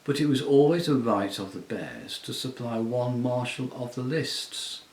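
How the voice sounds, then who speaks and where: A man voicing an old male talking bear with a thick, sleepy voice and a slow, humble manner. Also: mid-range voice, darkish tone, a little hiss or hum, close to an everyday voice. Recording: roomy echo.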